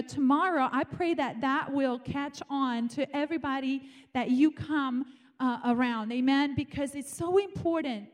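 A woman's voice: high-pitched, a little mellow. A woman from Romania preaching an evening sermon, fervently calling out "Amen?" to her congregation and reading scripture aloud.

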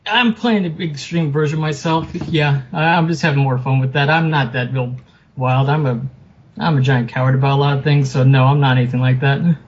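A man's voice: mid-range voice, steady tone, brisk, highs cut off, a little hiss or hum. A man chatting casually as an interview guest, rambling and self-deprecating. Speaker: podcast guest, author and film producer.

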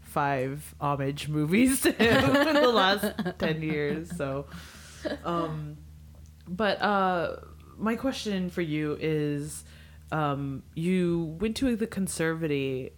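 A woman's voice: sits low, lively intonation, slow pace. A woman co-hosting a podcast, asking a guest chatty, curious questions and laughing easily. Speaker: podcast co-host. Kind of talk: casual podcast interview.

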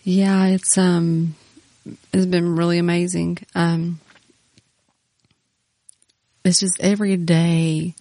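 Earnest low-voiced woman. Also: darkish tone, dry recording. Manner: earnest, reflective, many "you know"s. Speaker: podcast co-host, pastor's wife. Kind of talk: casual faith conversation.

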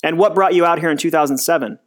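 Friendly man hosting, slightly high voice. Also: fast. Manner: friendly, with fillers and short affirmations. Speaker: podcast host. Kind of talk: interview host, scripted intro then casual questions.

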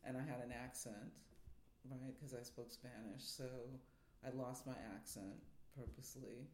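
A man's voice: medium pitch, fairly even intonation, a little slow, background noise. A man from New York City, a theater professor, speaking thoughtfully as an interview guest. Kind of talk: reflective interview talk. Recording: roomy echo.

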